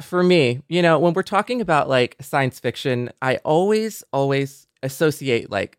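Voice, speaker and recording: A man's voice: medium pitch, clear, resonant tone, dry recording. Man co-hosting a podcast, dry close sound. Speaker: podcast co-host. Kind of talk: conversational podcast talk.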